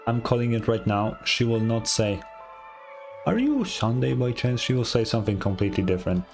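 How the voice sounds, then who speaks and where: A man's voice: mid-range voice, animated, brisk, a little mellow, soft background music. A man giving casual, informal live game commentary as a streamer, often incredulous and sweary.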